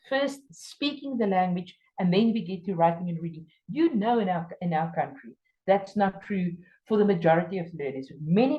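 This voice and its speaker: A woman from South Africa with an Afrikaans accent, speaking English as her second language while training teachers. She lectures emphatically, stressing key words with sudden jumps in pitch. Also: dark tone, dull audio. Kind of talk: workshop lecture.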